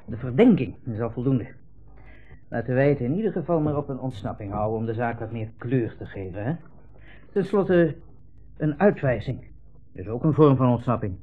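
A man's voice: mid-range voice, animated, normal speed, faint music behind, lightly acted. An actor playing a smug, insinuating army captain in a 1960s radio play, hemming as he puts condescending, leading questions. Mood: smug coaxing. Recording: muffled old radio recording, narrow band.

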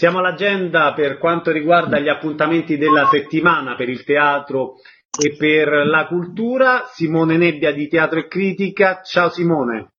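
A man's voice: fairly high pitch, fairly even intonation, normal speed. A man presenting a radio show as its host. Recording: dull, noisy radio broadcast sound.

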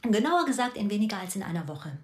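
A woman's voice: mid-range voice, sing-song, fast, echoey room, close to an everyday voice. An actress playing a stern, controlling mother of grown twins in a radio drama, clipped and cold.

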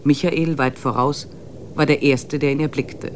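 An actor playing a reflective expedition diarist, narrating a literary travel journal in a measured way for a radio play. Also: male voice, a little high, fairly even intonation, background noise, close to an everyday voice. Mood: sober reporting. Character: reflective expedition diarist, slightly uneasy before mountains.

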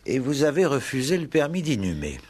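An actor playing a discreet, measured police inspector who speaks politely and deliberately, in 1960s French radio drama style. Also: male voice, mid-range voice, sing-song, a little mellow, slightly rough. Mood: courteous, businesslike. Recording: vintage 1960s radio play recording.

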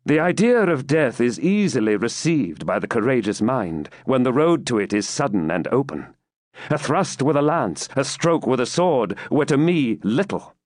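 A man's voice: mid-range voice, very animated, normal speed, no room sound, pitched above the performer's own voice, natural voice. A man voicing a proud, brooding medieval knight in an audiobook, with grave, formal, archaic declamation. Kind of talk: dramatised audiobook reading.

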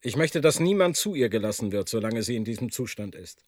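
An actor playing a stern, commanding professor in charge, speaking curtly and with authority in a radio play. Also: male voice, medium pitch, expressive, a little fast, a little mellow, no echo, clean studio sound, natural voice. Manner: curt, authoritative.

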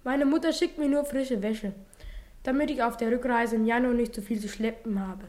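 A woman's voice: a little high, moderate pace, darkish tone, natural voice. A woman playing a proud, sad schoolboy who answers tersely and guardedly in a German radio play. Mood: guarded, hiding sadness. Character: Martin, proud, sad schoolboy hiding his troubles.

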